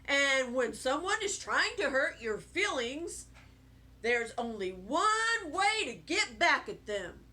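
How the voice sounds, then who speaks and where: A woman's voice: sits high, big pitch swings, takes it slowly, bright, forceful tone, full character voice. A woman voicing a firm, encouraging father of a young girl in a children's storybook. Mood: wry, firm. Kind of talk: children's storybook read-aloud.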